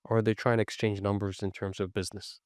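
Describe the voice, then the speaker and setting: A man's voice: on the low side, flat delivery, fast, no echo, studio quality. A man hosting a podcast, asking short, casual follow-up questions. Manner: brief prompts and follow-up questions. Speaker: podcast host.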